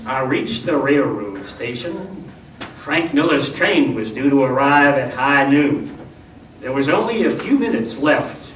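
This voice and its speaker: A man's voice: medium pitch, very animated, measured pace. An actor playing a hapless, exasperated town marshal narrating his story in a comic old-time radio show. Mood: rueful storytelling. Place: live show in a room before an audience. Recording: roomy, distant live stage recording, noisy.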